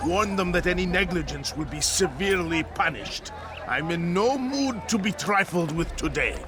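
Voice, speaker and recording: Haughty high-voiced scheming regent. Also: male voice, animated, normal speed, crowd noise. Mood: imperious. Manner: formal, haughty. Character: proud, scheming medieval regent, cold and imperious villain. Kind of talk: dramatic video game acting. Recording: game dialogue with music and outdoor ambience.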